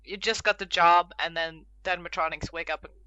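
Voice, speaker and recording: A woman's voice: lowish voice, fairly even intonation, quick pace, bright, forceful tone, smooth, no room sound, dull audio. A woman chatting casually and informally as a podcast co-host, speaking her opinions freely. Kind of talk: casual group chat.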